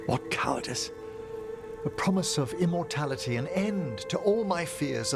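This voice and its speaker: An actor playing a gentle, wistful, scholarly older necromancer in a fantasy video game, with formal, measured, eloquent phrasing. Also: male voice, on the high side. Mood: anxious, wistful. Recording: game music playing behind.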